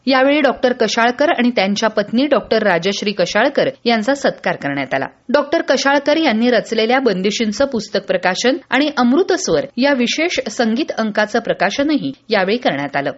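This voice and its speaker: A woman from presenting a scripted radio newsreel in a formal, measured way. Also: on the high side, expressive, highs cut off. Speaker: news presenter.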